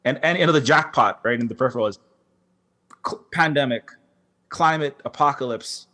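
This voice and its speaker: A man speaking on a conference panel as a game developer, impassioned and halting, with many restarts and fillers. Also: mid-range voice, pushed and bright. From Hawaii. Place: large concert hall.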